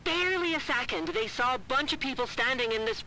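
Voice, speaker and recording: A woman's voice: high-pitched, animated, brisk, crowd noise, low-quality recording. A woman playing a concerned, businesslike agent calling in over radio in an audio drama, casual and probing. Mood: concerned, puzzled.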